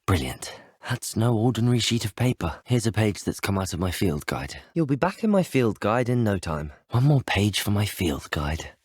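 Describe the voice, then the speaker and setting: Casting: young student wizard, game hero, polite and inquisitive, husky low voice with lively swoops. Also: male voice, normal speed, hardly any pauses, dry recording, studio quality. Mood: curious, pleased. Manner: polite, well-spoken, earnest. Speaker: player character in a video game. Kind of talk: video game voice lines.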